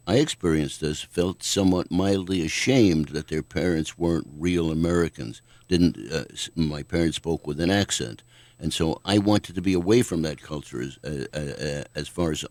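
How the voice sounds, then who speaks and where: An older man, a guest author, answering questions in a measured, thoughtful way on a radio talk show. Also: very deep voice, darkish tone, dry recording. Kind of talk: radio interview.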